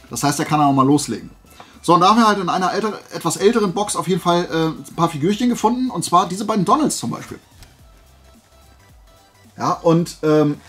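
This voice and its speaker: A man's voice: high-pitched, a bit bright. A man chatting casually and unscripted to viewers as a video host, enthusiastic, colloquial and rambling. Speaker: video host showing collectible finds.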